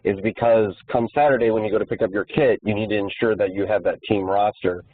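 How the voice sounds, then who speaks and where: A man's voice: fairly low pitch, fairly even intonation, fast, breathy edge. A man co-hosting a conference call, giving an informal, plain-spoken explanation over the telephone. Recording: muffled, narrow phone conference line.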